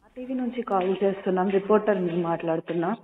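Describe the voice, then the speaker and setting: A reporter politely and haltingly asking for comment over a phone line, repeating words. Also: mid-range voice. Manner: deferential, stammering repeats. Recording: tinny recorded phone line.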